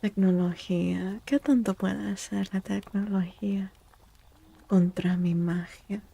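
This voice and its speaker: A woman speaking in a soft ASMR style as a streamer doing a tarot reading, hushed and often slipping into whispers. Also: mid-range voice, steady tone, measured pace, vocal fry, breathy edge.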